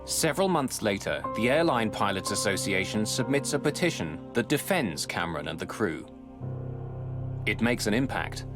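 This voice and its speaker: Guy narrating a disaster documentary, grave measured delivery, medium pitch, music behind him. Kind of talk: scripted dramatic documentary narration. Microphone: close studio voice-over mic. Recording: music bed under narration.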